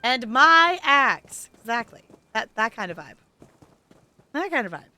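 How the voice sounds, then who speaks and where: A woman game streamer chatting casually and in an animated way with her livestream audience as she plays. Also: on the high side, measured pace. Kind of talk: casual livestream chat and reading game dialogue. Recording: music behind the voice.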